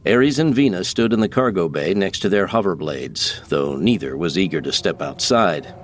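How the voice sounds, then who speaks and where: A man's voice: low-pitched, expressive, moderate pace, slight background noise. A man giving scripted, dramatic narration of science fiction as an audiobook narrator.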